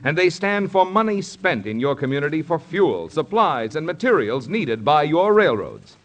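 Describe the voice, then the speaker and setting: A man's voice: fairly high pitch, very animated, moderate pace. A man reading a scripted 1950s radio sponsor announcement in a formal, polished salesman's manner. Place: radio studio. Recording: vintage 1950s radio broadcast.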